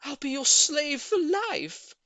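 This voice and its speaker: A man voicing a sweet, gushing middle-aged lady who dotes on her pet, with drawn-out cooing words, in an audiobook. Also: very high-pitched, huge pitch swings, bright, forceful tone, no echo, voice pushed up, exaggerated voice. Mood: eager, excited. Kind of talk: audiobook character voice.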